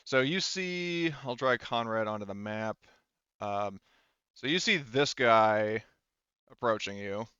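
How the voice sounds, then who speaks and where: Smooth-voiced, rambling male game master. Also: fairly low pitch, takes it slowly, bright, forceful tone, no room sound, dull audio. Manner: rambling, hesitant, self-correcting. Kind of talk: casual improvised tabletop game narration.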